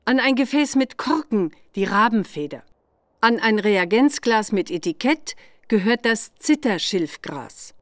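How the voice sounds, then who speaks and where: A woman playing an older witch examiner who gives patient, deliberate instructions in a children's game. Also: medium pitch, lively intonation, no echo, studio quality, natural voice. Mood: patient, instructive.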